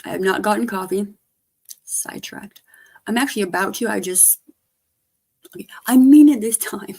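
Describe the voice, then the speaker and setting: A woman livestreamer, hoarse, chatting casually and rambling to her viewers, often trailing off. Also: medium pitch, moderate pace. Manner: rambling, trailing off, soft-spoken.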